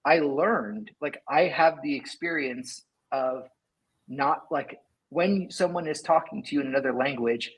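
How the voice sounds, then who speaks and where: Man, middle-aged, husky voice, rambling casual interview talk. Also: mid-range voice, unhurried, rough recording. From Sacramento, California. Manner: rambling, lots of "like" and "right?". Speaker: engineering leader, guest.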